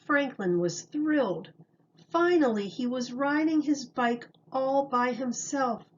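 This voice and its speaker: A woman with a fairly high voice narrates a children's storybook at a slow pace. Her read-aloud delivery is deliberate and expressive, like a teacher's. The recording sounds slightly muffled. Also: a little hiss or hum.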